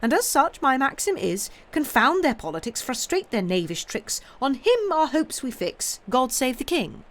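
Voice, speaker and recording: A woman playing a fierce, loyal old English companion. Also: sing-song. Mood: emphatic. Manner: brisk and emphatic, rising high and loud.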